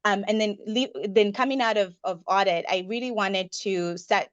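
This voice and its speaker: A woman's voice: medium pitch, normal speed, no room sound. A woman, a podcast guest telling her life story in an earnest, reflective way. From Uganda. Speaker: guest, investment partner. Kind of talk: personal storytelling in a podcast interview. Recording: slightly muffled dry recording.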